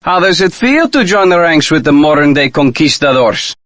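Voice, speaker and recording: An actor playing a proud, indignant Mexican diplomat in a video game, with a Mexican Spanish accent and formal, precise, theatrical diction. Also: male voice, on the high side, sing-song, normal speed, a bit bright, no echo. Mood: indignant, righteous. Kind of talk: video game character dialogue.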